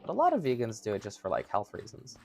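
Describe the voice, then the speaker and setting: A man in his thirties chats casually with livestream viewers in a somewhat high voice, giggling often and poking fun at himself. Faint music plays softly behind him. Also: huge pitch swings, fast talker, vocal fry. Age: 30s. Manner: giggly, chatty, self-mocking. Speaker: streamer.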